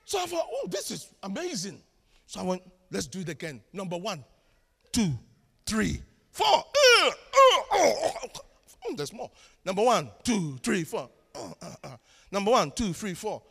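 Ghanaian pastor guy preaching, bright sharp voice, jokes at himself, loud then hushed. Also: high-pitched, huge pitch swings, a little slow, creaky, breathy edge. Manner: storytelling preacher, swings from shouts to hushed asides, self-mocking humor. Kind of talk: sermon. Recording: long hall reverb.

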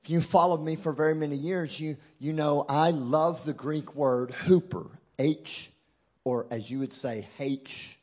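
Husky Texan preacher, joking and emphatic. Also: male voice, on the high side, steady tone, a little slow, darkish tone. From Texas. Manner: emphatic, joking, call-and-response with the crowd. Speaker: guest preacher. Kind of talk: sermon.

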